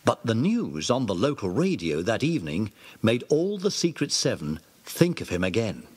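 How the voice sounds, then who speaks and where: A male narrator telling a children's story for an audio drama. His voice is husky, and his pitch swings very widely as he narrates with animation. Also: huge pitch swings, normal speed, darkish tone.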